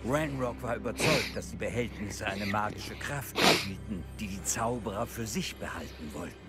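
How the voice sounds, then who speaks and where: An actor playing a wary, proud old male goblin lord in a German game dub, speaking in a stern, measured way. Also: lowish voice, animated, close to an everyday voice. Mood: grave. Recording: game audio with music behind.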